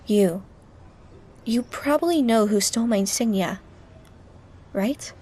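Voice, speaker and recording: A woman's voice: medium pitch, measured pace, mellow, breathy edge. A woman playing a proud, guarded young magic-wielding girl with clipped, imperious speech in an audio drama dub. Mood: cold, imperious.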